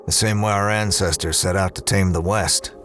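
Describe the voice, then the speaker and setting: Space ranger: low register, weary cynic, terse and guarded, audio drama with strong score underneath. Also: male voice, fairly even intonation, moderate pace, a bit bright, faint music behind. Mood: brooding monologue. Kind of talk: sci-fi audio drama acting.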